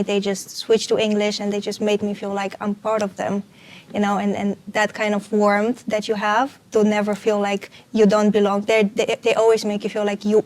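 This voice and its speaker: A young woman from Romania, an international student guest, talking earnestly in a casual panel chat with many restarts. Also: mid-range voice. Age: young adult.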